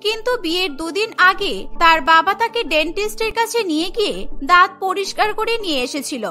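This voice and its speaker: Very high, bright female story narrator. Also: a little fast. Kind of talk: scripted cartoon story narration.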